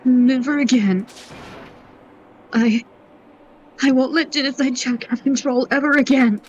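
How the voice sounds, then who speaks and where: A woman voicing an anxious, guilt-ridden teenage girl, earnest and halting, in a dramatic video game dub. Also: high-pitched, a little mellow, highs cut off, slight background noise. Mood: guilty, pleading.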